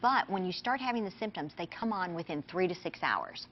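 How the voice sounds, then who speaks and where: A woman pharmacist on a TV segment, explaining in a brisk, confident way with many fillers. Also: on the low side, animated, muffled recording, slight background noise. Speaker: pharmacist guest. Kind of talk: TV interview, explaining.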